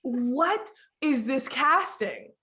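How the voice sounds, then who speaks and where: A woman's voice: high voice, expressive, extremely slow, bright, forceful tone. A young woman co-hosting a casual podcast chat, rambling with lots of fillers and frequent giggles. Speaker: podcast co-host.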